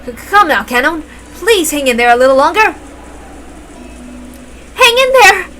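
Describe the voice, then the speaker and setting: A woman's voice: high-pitched, sing-song, a little slow, pushed and bright, a little hiss or hum, voice pushed up, exaggerated voice. A woman voicing a frantic, pleading older female servant in a dramatic story reading. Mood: panicked, pleading.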